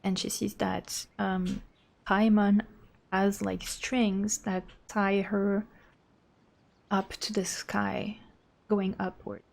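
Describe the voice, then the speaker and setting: Creaky-voiced woman streaming a game. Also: medium pitch, flat delivery, slow pace. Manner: rambling, informal, thinking aloud. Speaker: game livestreamer. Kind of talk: casual commentary over gameplay.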